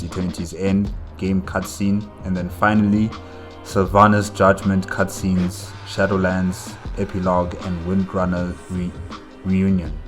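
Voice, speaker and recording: A man hosting a reaction video, chatting casually and hesitantly as he wonders aloud. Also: sits low. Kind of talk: casual chat, reacting to game cutscenes.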